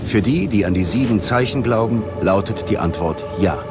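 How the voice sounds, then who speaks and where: A man reading scripted documentary narration in a measured, dramatic manner. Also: on the low side, expressive. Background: background music.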